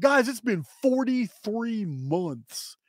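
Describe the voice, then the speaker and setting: A middle-aged man with an American accent presenting solo, emphatically and deliberately, in short phrases with pauses, close to the microphone. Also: extremely high pitch, sing-song, dry recording. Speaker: video host and presenter. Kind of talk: solo presentation to camera, dramatic commentary. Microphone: close microphone on boom arm.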